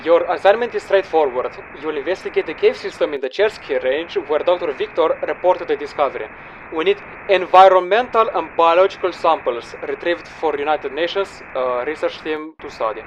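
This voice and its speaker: A stern male mission handler, acted by a man with a high voice for a man. He briefs formally and curtly in a game audio log, businesslike and unyielding, over faint background noise. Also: fairly quick, highs cut off, natural voice.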